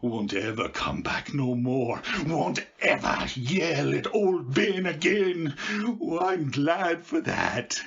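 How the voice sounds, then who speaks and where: A shabby, simple old beachcomber in an old-time radio play. He rambles slowly and pleads in rustic repetitions, his pitch lurching up and down. The sound is a little dull. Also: animated, creaky, a bit bright, slightly rough, heavily acted. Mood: eerie, relieved.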